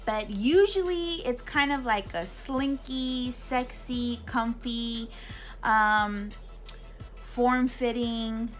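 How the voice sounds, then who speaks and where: Chatty woman in her thirties. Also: a little high. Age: 30s. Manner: chatty, animated, many fillers and restarts. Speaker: YouTube vlogger. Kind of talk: casual Q&A talk to camera.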